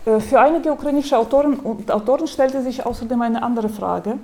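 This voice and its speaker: A woman giving a lecture, speaking in a measured way, with hesitations and restarts, and reading quotations aloud. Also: a little high, mellow.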